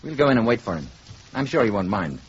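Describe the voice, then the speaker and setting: A calm polite spaceman passing as human, self-assured, old radio play, muffled and a bit hissy. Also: lowish voice, fast talker. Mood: quietly confident. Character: calm, learned spaceman posing as an ordinary man. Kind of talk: 1950s radio drama acting. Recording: dull, noisy 1950s radio recording.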